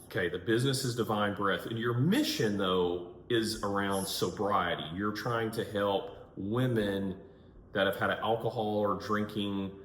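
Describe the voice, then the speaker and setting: A man's voice: on the low side, fairly even intonation, unhurried. A man hosting a podcast and interviewing a guest in a casual, conversational way. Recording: echoey room, clear background noise.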